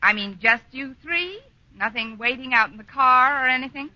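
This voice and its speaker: An actress playing a quick-witted, sarcastic woman schoolteacher in an old radio comedy, polite on the surface. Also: sits high, animated, sharp tone, no echo, exaggerated voice. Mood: wry, polite. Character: wry, quick-witted single woman schoolteacher, dryly wisecracking. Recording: dull, noisy vintage radio recording.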